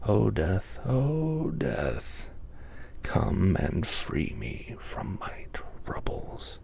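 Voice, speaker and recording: A man voicing a weary, self-pitying old peasant in a storybook fable, soft, slow and halting. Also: lowish voice, huge pitch swings, creaky, husky, no room sound, whispered, exaggerated voice. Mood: weary, lamenting.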